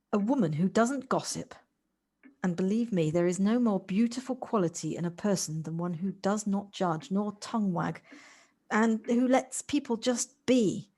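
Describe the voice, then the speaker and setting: A woman's voice: medium pitch, dark tone, lightly acted. An amateur actress playing an effusive, encouraging and sympathetic woman in an audio drama, often stammering into her phrases. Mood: earnest, tender. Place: recorded at home on a personal device.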